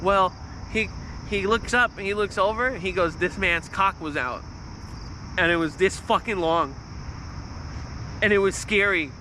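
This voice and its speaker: A man in his thirties with a squeaky, nasal voice, telling a story in a loose, swearing livestream ramble. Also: measured pace, long pauses, bright, forceful tone, a little hiss or hum, poor audio. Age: 30s. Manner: swears freely, restarts often, volume spikes. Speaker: vlogger on a livestream.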